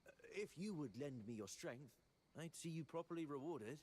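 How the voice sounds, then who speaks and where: A hesitant, worried villager. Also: male voice, a little high, lively intonation, moderate pace, a little mellow, breathy edge. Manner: hesitant, rambling with fillers. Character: worried middle-aged male villager planning a statue. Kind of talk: video game dialogue.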